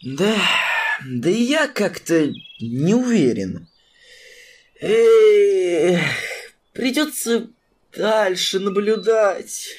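A woman voicing a lazy, pondering male cat in an audio tale, talking in a sighing, drawn-out way. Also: mid-range voice, expressive, darkish tone.

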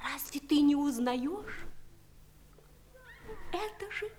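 An actress playing an excited, eager young child in a children's audio play. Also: female voice, high-pitched, takes it slowly. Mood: curious, polite.

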